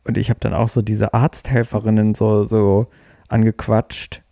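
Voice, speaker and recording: Animated young man chatting on a podcast. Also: lowish voice, normal speed, creaky, no echo, studio quality. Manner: chatty, animated, laughs easily. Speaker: podcast co-host. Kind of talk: casual chat.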